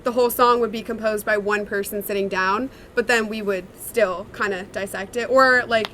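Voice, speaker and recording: A woman's voice: mid-range voice, steady tone, normal speed, fairly bright tone, slight background noise. A young woman, a singer-songwriter, answering interview questions in a chatty, reflective way with many fillers. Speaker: songwriter and singer in a sister duo.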